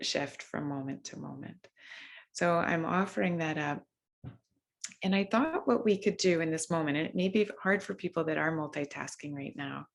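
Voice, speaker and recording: A woman leading a video-call mindfulness session in a gentle, unhurried way, with frequent ums and restarts. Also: low-pitched, vocal fry. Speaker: workshop facilitator. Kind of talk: gentle guided mindfulness talk.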